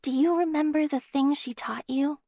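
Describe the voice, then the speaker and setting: A woman voicing a calm, gentle and slightly aloof female nurse in an anime game. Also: high-pitched, normal speed, no echo, clean studio sound. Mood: gentle, soothing.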